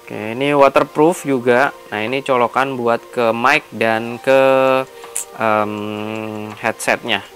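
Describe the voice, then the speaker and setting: A man's voice: mid-range voice, fairly even intonation, slow, fairly bright tone. Male vlogger, Jakarta Indonesian accent, mid-range voice, informal unscripted product walkthrough over light background music. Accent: Indonesian, Jakarta colloquial. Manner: informal, explanatory, thinking aloud. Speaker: motovlogger, product unboxing host. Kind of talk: casual unscripted unboxing and tutorial chat.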